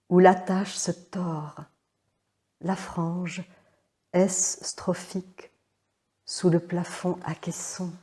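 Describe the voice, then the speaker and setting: A woman reading poetry alone in a measured, hushed way, pausing after short phrases. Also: on the low side. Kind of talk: slow solo poetry reading.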